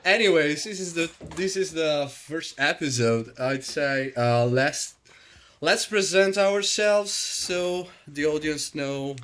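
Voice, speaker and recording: A man's voice: on the high side, takes it slowly, a bit bright. A man in his thirties from Italy, speaking with an Italian accent as host of a casual podcast chat, talking loosely with many fillers and restarts.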